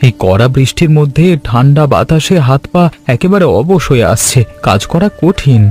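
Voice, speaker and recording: A man's voice: medium pitch, sing-song, moderate pace, darkish tone, soft background music, natural voice. Man as hardworking village farmer, earnest friend. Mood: worried. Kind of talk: Bengali cartoon voice acting.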